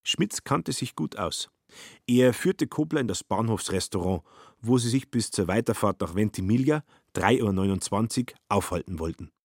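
A man's voice: on the low side, animated, moderate pace, no echo. A man performing narration and dialogue in a literary radio play, dry and matter-of-fact.

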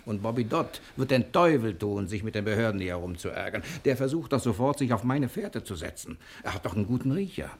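An actor playing a confident, quick-witted fugitive husband in a 1960 German radio drama, soothing and persuasive in manner. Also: male voice, on the low side, expressive, husky. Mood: confident, hurried.

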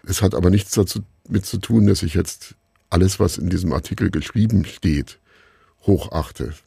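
A man's voice: low voice, moderate pace, gravelly, warm tone, breathy edge, no echo, clean studio sound. A man being interviewed as a radio play maker, thinking aloud in a reflective, discursive way.

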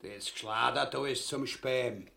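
A man's voice: mid-range voice, moderate pace, gravelly, sharp tone. A man playing a gluttonous, crude, cowardly usurper husband in a grotesque comedy, blustering and swearing with an Austrian accent. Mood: indignant. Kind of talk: grotesque stage comedy acting.

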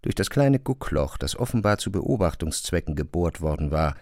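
A male narrator reads scripted literary prose in a fairly low voice. The recording is very dry and close, without any room sound. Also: very animated, normal speed, darkish tone, dry recording.

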